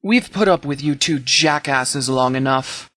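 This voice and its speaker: Guy acting a battle-worn army commander for a video game, blunt n stern, mid pitch, dry close sound. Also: measured pace, fairly bright tone, dry recording, natural voice. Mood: stern, hostile.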